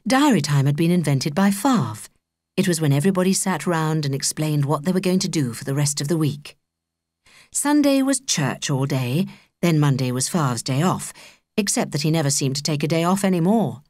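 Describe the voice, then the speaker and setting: Female British audiobook reader for children's fiction; expressive, varied pitch in a dry recording. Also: normal speed, no echo. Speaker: audiobook narrator. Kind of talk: scripted children's story narration.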